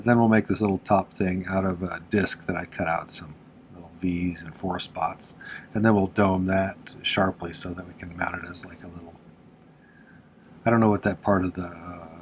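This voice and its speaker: Deep-voiced male craft teacher, stuffy and nasal, laid-back and chatty. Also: a little hiss or hum. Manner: relaxed and chatty, with frequent "uh" fillers and small laughs. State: congested from allergies, slightly nasal. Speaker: silversmith teaching on his channel. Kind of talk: casual how-to narration.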